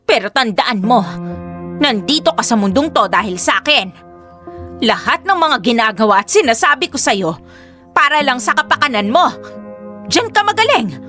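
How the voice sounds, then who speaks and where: Lady acting as a bossy nagging mom, high lively voice, music playing under it. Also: normal speed, long pauses. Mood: furious. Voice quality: shrill, scolding, melodramatic. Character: domineering judgmental middle-aged mother. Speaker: mother. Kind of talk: Tagalog radio drama acting. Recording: faint background music.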